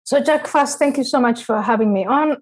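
A woman from Somalia, a podcast guest, calmly beginning a story she has told many times. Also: a little high, normal speed. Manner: composed, practiced storyteller. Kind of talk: interview answer.

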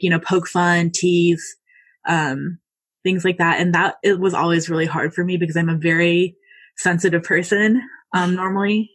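A woman's voice: fairly low pitch, breathy edge. A young American woman talking casually in an interview, full of likes and ums as she tells her story. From United States. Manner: chatty, lots of likes and ums.

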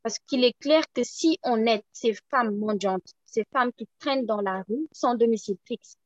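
A woman from Senegal with a Senegalese French accent, speaking as a guest and making an earnest, measured appeal for support. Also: fairly high pitch, dry recording, highs cut off. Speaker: guest, project founder.

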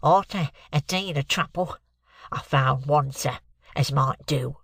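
A man voicing a slow-witted, nervous rough fellow in an audiobook, congested as if with a cold, hesitant. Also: fairly high pitch, dry recording, studio quality, full character voice. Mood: deferential. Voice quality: congested, thick with a head cold.

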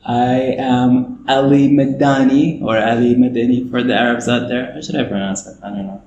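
A man's voice: medium pitch, moderate pace, roomy sound, muffled recording, noisy background. A young man, a student raised in Saudi Arabia, co-hosting a casual podcast and often laughing. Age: young adult. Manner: laughs often, relaxed banter. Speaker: podcast co-host. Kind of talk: casual chat.

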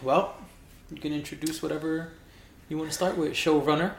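Joking, teasing podcast co-host. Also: male voice, on the high side, flat delivery, brisk, vocal fry, roomy sound, a little hiss or hum. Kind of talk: casual chat.

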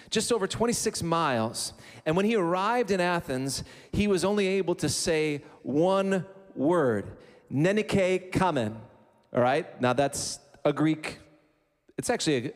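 Guy pastor giving a sermon, kinda higher voice, chatty with jokes, big echoey church hall. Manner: conversational preaching with asides and humor. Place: church sanctuary. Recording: long reverb of a church hall.

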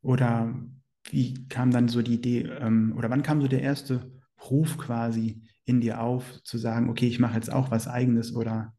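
A man's voice: medium pitch, level tone, normal speed, warm tone. A man interviewing a guest, asking long, hesitant questions in a casual tone.

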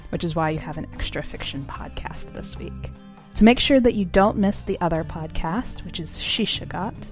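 A woman's voice: medium pitch, darkish tone. A woman hosting a fiction podcast, speaking in a scripted, read-aloud presenting and narrating style. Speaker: podcast host and story reader.

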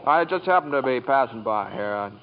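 Actor playing stammering young bachelor, muffled old radio. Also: male voice, medium pitch, animated, a little fast, a little hiss or hum, lightly acted. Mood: flustered, defensive. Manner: hesitant, stammering, defensive. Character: stubborn, flustered young bachelor fighting his feelings. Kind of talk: 1940s radio drama acting.

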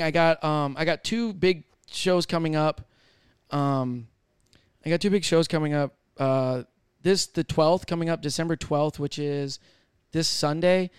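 Podcast host, man in his thirties, loose and profane, slightly high, smooth tone. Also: no echo, studio quality. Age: 30s. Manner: loose, swearing, rambling with fillers. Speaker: podcast host and stand-up comedian. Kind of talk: casual podcast banter.

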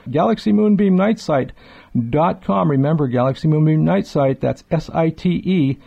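A man co-hosting a radio show in a conversational, effusive, wordy way, with a mellow voice. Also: on the high side, normal speed, creaky, lo-fi sound.

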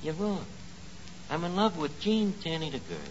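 An actor playing a brash, lovestruck, uncultured tavern manager in a 1940s radio comedy, wheedling and mock-suave. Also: male voice, on the high side, animated, moderate pace, natural voice. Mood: wooing, cocky. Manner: wheedling, mangles fancy words, mock-suave. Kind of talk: 1940s radio sitcom acting. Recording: noisy muffled 1940s radio broadcast.

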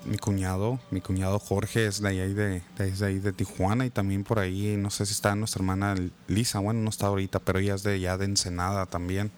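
A man hosting a live radio interview, chatting in a relaxed way with frequent religious asides. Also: low-pitched, fast talker, hardly any pauses. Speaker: radio host and music producer.

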